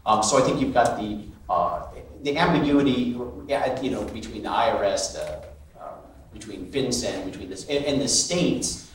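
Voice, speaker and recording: A man on a conference panel, talking in a halting way with many restarts. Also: lowish voice, recorded from a distance, slight background noise. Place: large conference hall. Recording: echoey hall, mic from afar.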